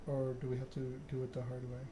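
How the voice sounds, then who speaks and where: Man acting a vampire enforcer, game dialogue, monotone, coldly threatening, formal, background noise. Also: medium pitch, lightly acted. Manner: measured monotone recitation, veiled threats. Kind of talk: video game dialogue read aloud.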